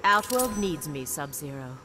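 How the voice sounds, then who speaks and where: An actress voicing a poised, proud young warrior woman in a video game, measured, formal and coolly defiant. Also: low-pitched, big pitch swings, background noise. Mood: proud, firm. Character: poised, proud young female warrior, loyal and sharp-tongued. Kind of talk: video game character dialogue.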